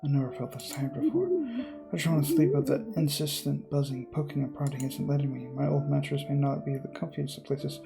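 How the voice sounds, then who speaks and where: A man's voice: medium pitch, a little fast, vocal fry. A man hosting a game video, reading visual novel text aloud dramatically with casual commentary and some stumbles, game music behind him. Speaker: gaming video host.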